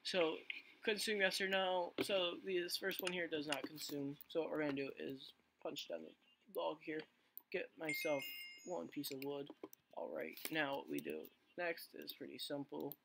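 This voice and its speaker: A man with a hoarse voice he says he is losing, giving rambling casual let's play commentary full of fillers and restarts. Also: a little high, fairly even intonation, a little slow, noisy background. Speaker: gaming channel host.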